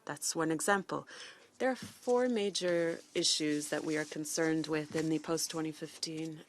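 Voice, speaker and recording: A woman giving live interpretation of a formal speech, in halting phrases with false starts. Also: sits low, fairly even intonation, slight background noise. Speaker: simultaneous interpreter.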